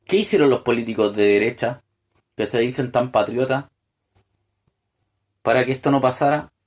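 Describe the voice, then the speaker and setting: A man delivering a solo political podcast monologue, full of hesitant fillers and restarts, building to pointed rhetorical questions. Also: mid-range voice. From Chile. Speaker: podcast host.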